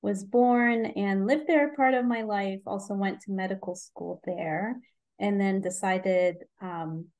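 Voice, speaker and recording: Woman from Egypt, forties, physician guest, halting casual chat, frequent ums, laughs easily. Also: medium pitch, steady tone, darkish tone. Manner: hesitant, many ums and restarts, laughs easily.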